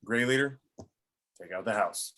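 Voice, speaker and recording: A man's voice: lowish voice, measured pace, a bit bright. A man playing an imperious old villain who commands his minions in an amateur cartoon table read. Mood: commanding. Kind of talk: amateur table read of an animated film script.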